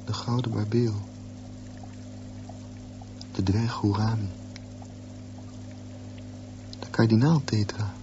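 A man's voice: fairly low pitch, little pitch movement. Dreamy teenage boy, dull noisy recording. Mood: dreamy wonder. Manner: slow, wondering, musing. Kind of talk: radio drama acting, reflective monologue.

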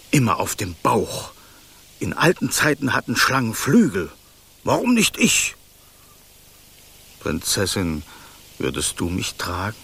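A man's voice: mid-range voice, creaky, fairly bright tone, a little hiss or hum, full character voice. An actor playing a talking golden snake in a radio play, a former king who speaks in a theatrical, courtly, plaintive way. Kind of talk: German radio play acting.

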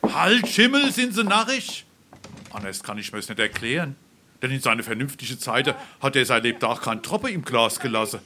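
An actor playing a self-contented, wine-loving tavern drinker in a droll Darmstadt Hessian stage comedy. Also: male voice, medium pitch, big pitch swings, normal speed, pushed and bright, a little hiss or hum. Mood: astonished. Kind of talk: dialect stage comedy acting.

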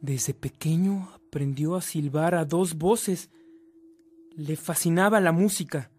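Higher-pitched male storytelling narrator. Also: slow pace, a little mellow, breathy edge. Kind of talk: scripted radio drama narration. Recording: background music under the voice.

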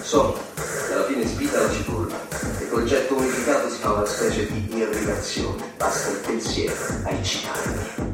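An actor playing an infatuated intellectual narrating a love affair as a lyrical, confessional monologue in a radio drama. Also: male voice, fairly low pitch, steady tone, moderate pace, lightly acted. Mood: calm, intimate. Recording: heavy echo, distant mic, loud music behind. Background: music.

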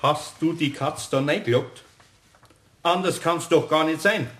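A man's voice: on the high side, unhurried, fairly bright tone. An actor playing a grumbling but kind-hearted older Bavarian craftsman in a children's radio play, scolding in a folksy way. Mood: stern, scolding.

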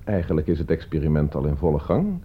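A man's voice: rumbling low, very animated, quick pace, husky, exaggerated voice. An actor playing a cool, calculating man in a radio play, speaking with composed, provocative deliberation. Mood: smug, cryptic. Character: cool, calculating man staging a deadly experiment. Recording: dull, muffled old radio play recording.

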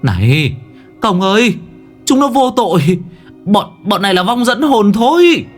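A man voicing a young hero who shouts urgently in alarm and defiance. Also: sits high, very animated, normal speed, faint music behind, pitched above the performer's own voice. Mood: alarmed shouting.

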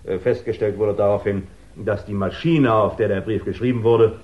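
Low-pitched dour village inspector played by an actor. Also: male voice, steady tone, moderate pace, close to an everyday voice. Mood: dour, official. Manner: matter-of-fact, official. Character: dour village police inspector giving evidence. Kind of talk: 1960s German radio crime drama acting. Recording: dull 1960s radio recording.

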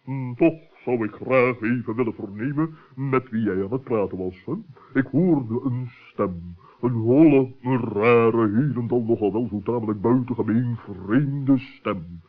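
A man's voice: medium pitch, a little slow, natural voice. Man playing a pompous, nosy owl. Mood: nosy politeness. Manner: pompous, wordy, hemming. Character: nosy, polite, pompous male owl neighbour. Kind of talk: 1960s children's radio play acting. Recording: tinny, narrow old radio recording.

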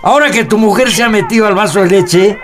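A man's voice: sits high, fast talker, music in the background, lightly acted. A man acting a mocking, domineering middle-aged macho uncle in a radio drama, with a Peruvian accent and a coarse, taunting manner. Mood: mocking, approving.

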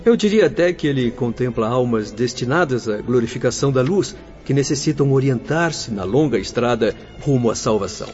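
A man's voice: medium pitch, expressive, brisk, few pauses, a little mellow, close to an everyday voice. Man acting an earnest young Brazilian doctor, muffled. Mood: earnest, reverent. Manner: formal, courteous, explanatory. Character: earnest, devout young male doctor spirit, humble guide. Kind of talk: radio novel acting. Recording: slightly dull lo-fi audio, faint music.

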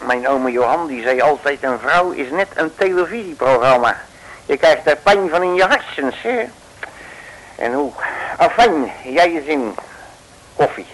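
Casting: folksy detective, old radio play; blustering, jovial, very animated intonation. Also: male voice, on the high side, unhurried, a little hiss or hum, low-quality recording. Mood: cocky. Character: cocky, folksy male detective, slangy and self-assured. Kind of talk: 1960s Dutch radio play acting.

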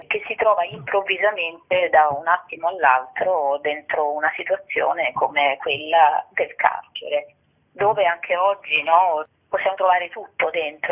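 A woman, an actress and playwright, explaining her work reflectively in an interview, searching for words and adding little tag questions. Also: low voice. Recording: tinny phone line on radio.

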